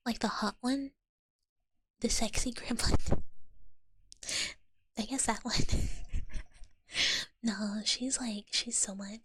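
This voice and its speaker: A young woman whispering softly to her stream chat in an ASMR style, giggling often. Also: a little high, slight background noise. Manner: soft whisper with frequent giggles.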